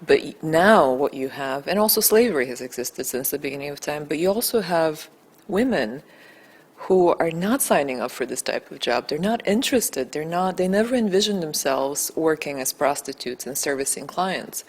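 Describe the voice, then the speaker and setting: A native Bulgarian woman answering interview questions as a documentary director, earnest, deliberate and emphatic. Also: low voice, expressive, slightly rough.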